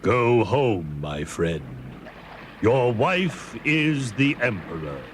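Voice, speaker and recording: Man playing a stern, slow-talking magic fish. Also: mid-range voice, very animated, a little hiss or hum. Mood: solemn, inquiring. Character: magic male flounder, commanding, increasingly indignant talking fish. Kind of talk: dramatised folk tale for English learners.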